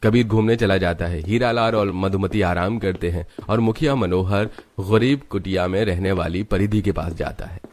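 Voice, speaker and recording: A man's voice: deep voice, fairly even intonation, normal speed, hardly any pauses, darkish tone. A man narrating a scripted cartoon story as its narrator.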